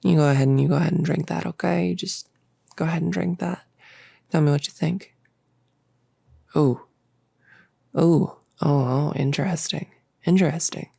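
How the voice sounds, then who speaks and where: A performer voicing a bossy yet gentle cultist experimenter in a roleplay audio drama, with a creaky voice and a coaxing, hesitant, rambling manner. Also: medium pitch, steady tone, normal speed, long pauses, dry recording, clean studio sound. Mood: gentle, curious.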